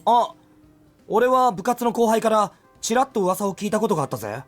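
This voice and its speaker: An actor playing a blunt, informal, crude male high school student in an anime-style game. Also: very animated, rapid-fire. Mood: casual, blunt. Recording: game music behind.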